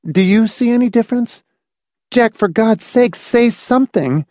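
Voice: medium pitch, lively intonation, a little slow, dark tone, no room sound, studio quality, voice pushed up, natural voice. A narrator voicing an anxious, pleading wife in an audiobook, with shaky, insistent questioning.

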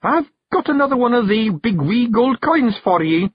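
High, blustering Scottish oddball. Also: male voice, sing-song, husky, no echo, muffled recording, heavily acted. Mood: impatient. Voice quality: growly, snarling. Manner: blustering, halting, self-correcting, bossy. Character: bearded bumbling bossy stranger, tiny folk disguised as one man.

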